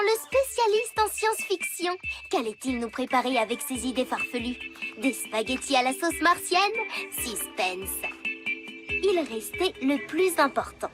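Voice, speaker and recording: A woman voicing a prim, scornful schoolgirl who narrates a cartoon with sly, sneering remarks. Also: high voice.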